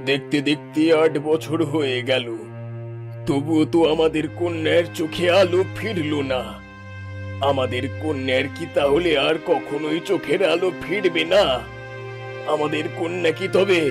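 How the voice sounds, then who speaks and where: A sorrowful cartoon king acted by a man speaks in formal, halting phrases. His voice sits a little high for a man, heavy with helplessness, with clear music behind it. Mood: sorrowful, helpless. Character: sorrowful, helpless fairy-tale king, father of a daughter. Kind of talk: melodramatic cartoon dialogue. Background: background music.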